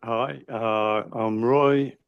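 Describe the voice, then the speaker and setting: A man's voice: mid-range voice, steady tone, very slow, clean tone, no echo, dull audio. A man with a Geordie accent introducing himself plainly in an online circle. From northeast England. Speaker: circle participant. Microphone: video call.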